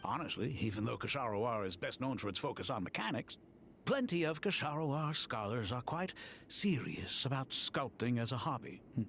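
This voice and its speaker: Courteous game scholar; male, earnest, lively varied intonation, faint noise behind. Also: medium pitch, moderate pace, vocal fry. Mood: curious, polite. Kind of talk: scripted video game dialogue.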